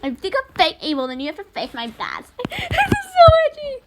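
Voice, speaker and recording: A young woman with a very high voice chats casually over a game she is playing. She is excitable and giggly, slipping into mock-dramatic roleplay delivery. The home recording has clear background noise. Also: normal speed. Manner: talks while eating and sucking ice. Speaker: gaming video host. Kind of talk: casual playful gameplay commentary with roleplay.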